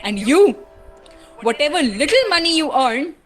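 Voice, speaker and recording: A woman playing a stern, sharp-tongued single mother in an amateur radio play, emphatic and scolding. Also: high-pitched, big pitch swings, measured pace, soft background music, exaggerated voice. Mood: accusing.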